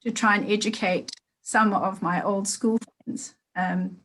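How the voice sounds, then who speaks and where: A middle-aged woman from Zimbabwe, with her accent clear in every phrase, talks through a video call. Her delivery is careful and measured, full of pauses, ums and small restarts as she works out her thoughts. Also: medium pitch, fairly even intonation, fairly bright tone, rough recording. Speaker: meeting participant sharing an update. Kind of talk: online group meeting talk.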